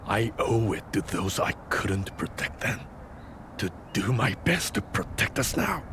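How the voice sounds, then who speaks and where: An actor playing a proud, wounded samurai general burdened by guilt, speaking earnestly and dramatically in a video game cutscene. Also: male voice, fairly low pitch, very animated, unhurried. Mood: bitter, confessional. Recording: clear background noise.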